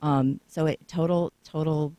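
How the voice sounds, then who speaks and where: A woman's voice: low-pitched, steady tone, measured pace, dark tone, no room sound. A woman sits in a radio studio explaining her business with long, flowing answers. Her voice is captured close and bone-dry, with no room sound at all. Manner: talkative, lengthy storytelling answers. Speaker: co-founder guest.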